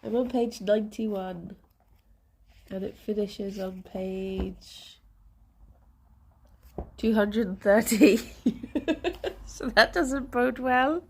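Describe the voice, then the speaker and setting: A young woman streamer with a congested, bunged-up voice, chatting softly with viewers and laughing often. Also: mid-range voice, extremely slow, pauses often. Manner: soft, chatty, laughs often, greets chat by name. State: congested, stuffy nose. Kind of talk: casual morning chat with viewers.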